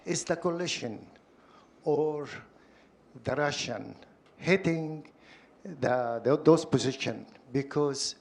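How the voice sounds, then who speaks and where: A man's voice: fairly high pitch, slow, pauses often. A man from Syria in the audience asking a panel a direct, emphatic question.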